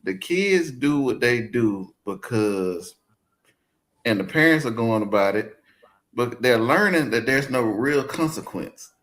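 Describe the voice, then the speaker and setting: A man in his forties, a guest on a roundtable talk panel, speaking in a calm and observant way. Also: mid-range voice, a little slow. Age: 40s. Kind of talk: roundtable discussion.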